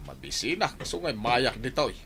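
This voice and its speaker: A man's voice: mid-range voice, huge pitch swings, brisk, crowd noise. A man acting as a hungry, complaining older man in an Ilocano radio drama, with an Ilocano Filipino accent. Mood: grumbling. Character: hungry, complaining older man hanging around the wedding kitchen.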